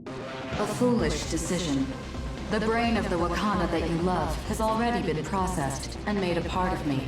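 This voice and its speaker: A woman voicing a machine that poses as a mother in a video game, solemn, measured and coaxing, with reverb on her voice. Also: on the low side, over loud music. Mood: cold. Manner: slow, solemn, measured pleading. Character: machine posing as a dead mother, serene and coaxing. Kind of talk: dramatic video game voice acting. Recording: heavily echoing, distant-sounding game audio.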